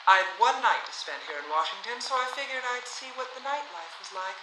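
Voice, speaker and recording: An actress playing a brash, flippant 1950s Hollywood star at a hearing, in period radio drama style, breezy and unapologetic. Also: female voice, mid-range voice, animated, a little fast, close to an everyday voice. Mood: breezy, proud. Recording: echoey hearing room, noisy mock newsreel soundtrack.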